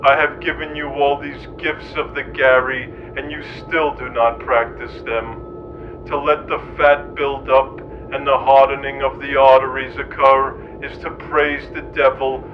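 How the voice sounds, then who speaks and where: A man's voice: medium pitch, little pitch movement, unhurried, highs cut off, noisy background, lightly acted. A man playing a solemn, pompous reverend who gives a preachy mock scripture reading. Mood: preachy, stern.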